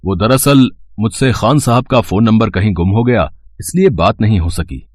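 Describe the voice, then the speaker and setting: Man as a visiting traveller, low husky voice, polite, measured, cartoon story. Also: animated, a little mellow, dry recording. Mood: troubled, polite. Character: courteous male visiting traveller, mildly troubled. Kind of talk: cartoon moral story acting.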